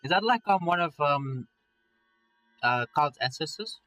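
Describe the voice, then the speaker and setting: A man's voice: a little high, lively intonation, unhurried, sharp tone, faint music behind. A man playing a frightened young man in a horror story, with urgent, rattled outbursts. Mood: startled, confused. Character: frightened young man trapped in a nightmare, anxious.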